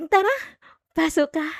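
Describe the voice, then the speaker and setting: A woman's voice: very high voice, gravelly, no room sound, studio quality, full character voice. A woman with a Filipino accent playing an obsessive, possessive stalker in an intimate ASMR roleplay, coy, teasing and sweetly menacing. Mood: coaxing, possessive. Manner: coy, teasing, giggly, lingering over words.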